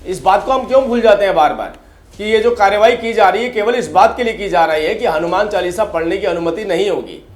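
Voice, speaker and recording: A man's voice: high-pitched, brisk. A man on a debate panel arguing heatedly, emphatic and repetitive, with rhetorical questions. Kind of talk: heated political debate argument. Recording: roomy, echoey sound.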